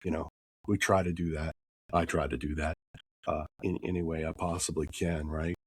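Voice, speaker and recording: A man hosting a podcast interview, husky from a cold, upbeat and tagging his points with "right?". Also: very deep voice, steady tone, normal speed, no echo. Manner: upbeat, often adds "right?". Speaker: podcast host.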